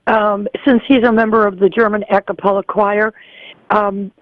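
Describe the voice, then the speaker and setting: A man voicing a fussy, proper older woman calling in, rambling and halting with many ums. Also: sits high, little pitch movement, dry recording, lightly acted. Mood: earnest. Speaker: caller. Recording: tinny phone line.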